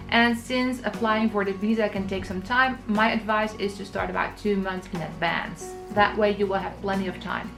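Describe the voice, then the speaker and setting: A woman from the Netherlands in her thirties giving travel tips in a scripted vlog, explaining clearly and practically. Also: mid-range voice. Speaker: travel vlogger.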